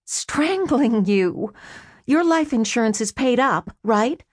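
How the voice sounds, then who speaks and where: A woman's voice: mid-range voice, expressive, measured pace, a little mellow, no room sound, voice pushed up. A woman voicing an exasperated woman shop owner with a dry, sarcastic tongue. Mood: sardonic.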